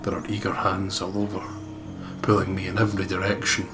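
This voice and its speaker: A deep-voiced man narrates a horror story in the first person, reading dramatically as a let's play host. Loud game music plays behind his voice. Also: completely flat delivery, fairly quick. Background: prominent game music.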